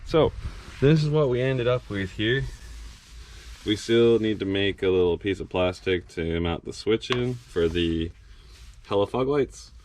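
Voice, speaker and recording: A man's voice: fairly low pitch, a little slow. A man casually chatting and narrating a van build for his vlog. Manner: relaxed, chatty, mutters while working. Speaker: vlog host. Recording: noisy background.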